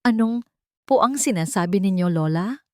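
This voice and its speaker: Weary young nurse, played by a woman, hurt, pleading, respectful, slightly lifted pitch, audio story. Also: lively intonation, normal speed, a little mellow, dry recording, clean studio sound, lightly acted. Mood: shocked, timid.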